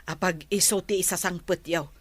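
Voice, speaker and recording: A woman's voice: lowish voice, steady tone, moderate pace, dry recording, close to an everyday voice. A woman playing a kindly, dutiful elderly household helper in a radio drama, speaking respectfully. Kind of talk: Ilocano radio drama acting.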